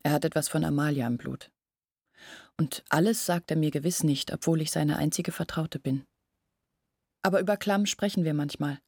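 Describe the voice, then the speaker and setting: A brooding young village woman in a literary radio play. Her voice sits rather low and is husky, recorded close and dry. She confides earnestly and anxiously, as if to one trusted listener. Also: moderate pace, darkish tone, dry recording. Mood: confiding. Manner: intimate, brooding, earnest explaining. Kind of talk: literary radio drama acting.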